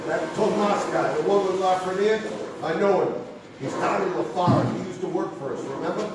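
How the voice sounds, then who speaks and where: A man's voice: high voice, brisk, lightly acted. An actor playing a frightened, superstitious businessman, urgent and commanding, in a live radio drama on stage. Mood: grim, fearful. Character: frightened, superstitious married businessman, bossy and frantic. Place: theatre stage. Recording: echoey stage from far off, noisy.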